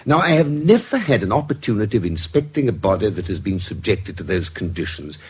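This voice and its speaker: An actor playing a measured, authoritative British investigator in a radio drama, speaking with precise, formal, dry courtesy. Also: male voice, fairly low pitch, very animated, a little mellow, slightly rough, full character voice. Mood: courteous.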